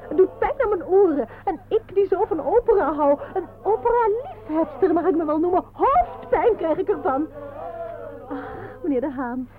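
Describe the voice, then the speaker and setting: An actress playing a coy, dreamy, affected miss in a comic radio play, sighing and fussing in a plaintive, gushing way. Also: female voice, extremely high pitch, expressive, normal speed. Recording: tinny, noisy old radio play recording.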